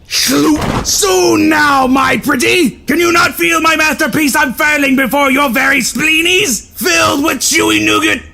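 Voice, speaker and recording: A man's voice: very high-pitched, animated, takes it slowly, vocal fry, raised voice, background noise, full character voice. A man voicing a manic, deranged cartoon noodle boy who rants nonsense threats at a scream. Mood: raving. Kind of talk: animated comic dub.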